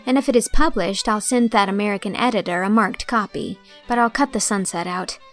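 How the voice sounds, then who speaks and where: A woman's voice: mid-range voice, a little fast, a bit bright, faint music behind, close to an everyday voice. A woman voicing an earnest, dramatic young woman of nineteen with big dreams of writing, alongside her narration. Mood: hopeful. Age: young adult. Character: proud, dreamy young woman writer, passionate.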